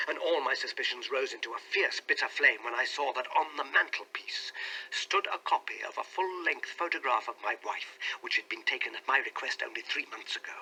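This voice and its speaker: Actor as troubled suspicious husband, very bright forceful tone, husky grain, earnest dramatic storytelling. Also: male voice, mid-range voice, exaggerated voice, poor audio. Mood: bitter, hurt. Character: anguished, suspicious husband recounting his troubles. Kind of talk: dramatic audiobook reading in character.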